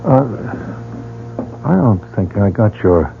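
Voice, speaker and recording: A wary, curious newcomer. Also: male voice, lowish voice, sing-song, slow pace, creaky, noisy background, heavily acted. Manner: inquisitive, wary. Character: curious, guarded male newcomer. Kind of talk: 1940s radio drama acting. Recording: phone-like old radio broadcast.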